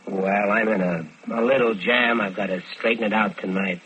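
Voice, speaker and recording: An actor playing a smooth-talking American killer who tells his own crime in vintage radio drama style. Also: lowish voice, fairly even intonation, normal speed, fairly bright tone, a little hiss or hum, close to an everyday voice. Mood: persuasive, evasive. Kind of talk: 1940s-50s radio crime drama acting. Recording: dull lo-fi old radio recording.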